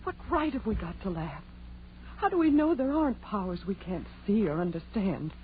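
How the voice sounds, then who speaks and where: A woman's voice: medium pitch, very animated, moderate pace, close to an everyday voice. An actress playing a fearful, haunted young woman in an old radio horror play, with a dramatic, pleading delivery. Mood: dread, ominous. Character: fearful, guilt-ridden young educated woman haunted by evil. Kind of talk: 1940s radio horror acting. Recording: tinny old radio recording with hum.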